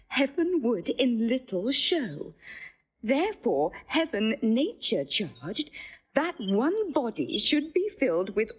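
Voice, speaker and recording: An actress playing a gentle, playful young noblewoman in Shakespearean drama, measured and declamatory. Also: on the high side, breathy edge, heavily acted, rough recording. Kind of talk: Shakespearean verse acting.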